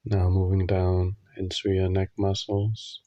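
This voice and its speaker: A man leading a guided meditation, giving gentle instructions in short phrases with long pauses. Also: deep voice, completely flat delivery, dry recording, lo-fi sound.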